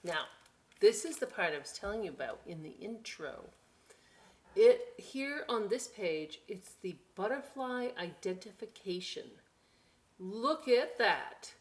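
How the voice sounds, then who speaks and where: A woman hosting a storytime for young children, reading expressively with drawn-out words and chatty, encouraging asides. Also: mid-range voice, expressive. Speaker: children's library storytime host.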